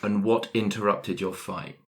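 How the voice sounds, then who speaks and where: A man's voice: on the low side, steady tone, a little slow. A man talking casually and telling improvised story ideas in a tabletop roleplaying group, with a playful, wry manner.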